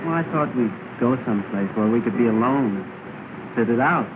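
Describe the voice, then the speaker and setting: An actor playing an earnest young suitor at a dance in an early 1930s film. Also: male voice, mid-range voice, lively intonation, a little fast, natural voice. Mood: hopeful, courting. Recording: tinny 1930 film soundtrack with music.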